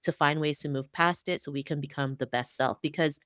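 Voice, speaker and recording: A woman's voice: low-pitched, a little fast, a bit bright, no echo, studio quality. A woman guest in a podcast interview, speaking in an earnest, fluent, motivational way. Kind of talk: conversational interview.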